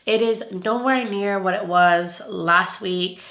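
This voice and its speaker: A young woman with a hoarse voice from laryngitis, gushing about beauty products in a bubbly, casual review. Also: mid-range voice, slow, a bit bright. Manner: bubbly, gushing, laughs often. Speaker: beauty vlogger.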